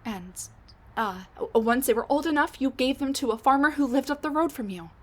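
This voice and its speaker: A woman voicing a scatterbrained older woman scientist who has traveled back in time to meet her husband. She stammers and restarts, full of fillers, and sometimes drops to a hushed mutter. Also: high-pitched, expressive, brisk. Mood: flustered, pleading. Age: middle-aged. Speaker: audio roleplay performer. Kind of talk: audio roleplay drama.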